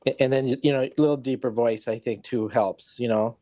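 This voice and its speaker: A man's voice: mid-range voice, steady tone, fairly quick, warm tone. A man chatting casually as an easygoing, teasing co-host of a craft livestream. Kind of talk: casual chat.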